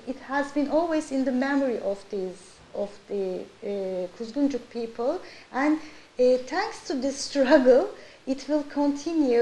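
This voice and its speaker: A woman's voice: on the high side, a little mellow. A woman with a Turkish accent giving an interview as a local resident. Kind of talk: interview talk. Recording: echoey room with faint hiss.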